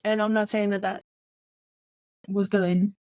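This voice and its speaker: A woman chats as a podcast co-host in an informal book discussion. Her voice sits at a middle pitch and she stresses points by restating them. The sound is dry, with very little room in it. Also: level tone, measured pace, a little mellow, dry recording. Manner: emphatic, repeats points for stress.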